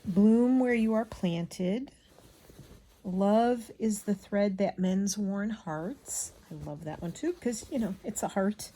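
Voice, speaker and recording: A woman chatting casually as she hand stitches, rambling and absent-minded, muttering to herself, with a slightly gravelly voice. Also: mid-range voice, dark tone. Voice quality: slightly gravelly from a frog in the throat. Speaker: craft vlogger.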